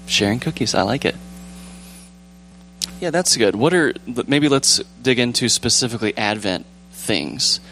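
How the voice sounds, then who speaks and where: A young man with a calm, relaxed voice leading a casual church discussion, rambling gently with fillers and restarts. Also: medium pitch, unhurried, a little hiss or hum. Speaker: church group leader. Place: church sanctuary with pews.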